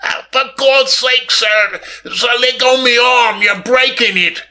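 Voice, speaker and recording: Man voicing a nervous, high-pitched, deferential footman. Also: expressive, a little slow, pushed and bright, full character voice. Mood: pained, pleading. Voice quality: quavering. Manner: hesitant, obsequious, stammering. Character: nervous, deferential male footman, pleading servant.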